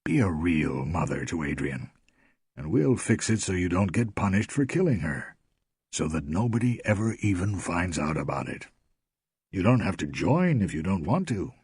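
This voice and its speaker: A man voices a sly old coven leader, low and husky, who swings from grand proclamation to soft coaxing. His delivery is lively in pitch. The sound is dry and close. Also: normal speed, dry recording, heavily acted. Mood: persuasive. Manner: commanding, coaxing. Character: smooth persuasive male coven leader, elderly.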